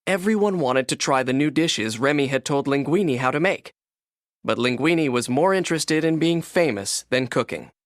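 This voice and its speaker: Male narrator for kids' read-along book, animated delivery with wide pitch movement, dry recording. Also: normal speed, dry recording. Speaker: storybook narrator.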